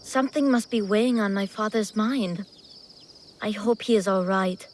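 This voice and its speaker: Actor as high-voiced, dutiful young lord's son. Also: male voice, normal speed, slight background noise, natural voice. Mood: polite, wistful. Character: dutiful, earnest young son of a feudal lord. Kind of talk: video game cutscene acting.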